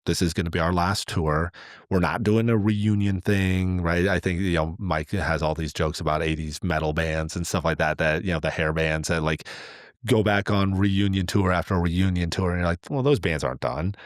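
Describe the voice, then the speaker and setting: A man with a low-pitched voice talks in a dry, close recording. He tells stories casually as a podcast co-host, slipping into quoted remarks in a loose conversational way. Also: fairly even intonation, moderate pace, no room sound.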